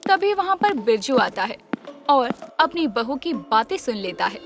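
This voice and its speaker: A woman giving scripted storybook narration as the narrator of an animated tale. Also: a little high, unhurried, faint music behind.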